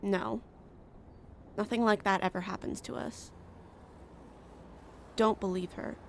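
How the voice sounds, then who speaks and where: A sullen, defeated daughter speaks with heavy creak at a medium pitch. Her lines are terse and resigned, as radio drama, with faint traffic noise behind. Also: steady tone, slow pace. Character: sullen, defeated youngest daughter of troubled family. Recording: faint outdoor roadside noise.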